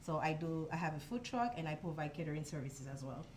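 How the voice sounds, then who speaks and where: A young woman from Haiti talks through her dishes in a soft, unhurried way, pausing often to find words. Her voice sits a little low, and a faint hiss of room noise runs under the studio recording. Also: animated. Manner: soft-spoken, explains step by step with many ums. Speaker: guest, food truck owner. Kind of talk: casual interview.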